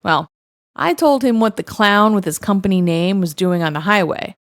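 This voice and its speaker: A woman's voice: mid-range voice, animated, normal speed, no echo, clean studio sound. A woman narrating first-person stories in a wry, matter-of-fact way. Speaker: narrator reading online stories.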